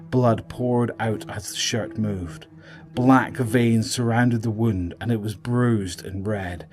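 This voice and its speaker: A man's voice: medium pitch, a little mellow. A man delivering dramatic scripted narration for an audio drama.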